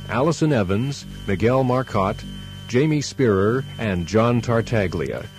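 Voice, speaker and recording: A man's voice: fairly low pitch, a little mellow, rough recording. A man reading a scripted closing announcement as a radio drama announcer. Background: theme music.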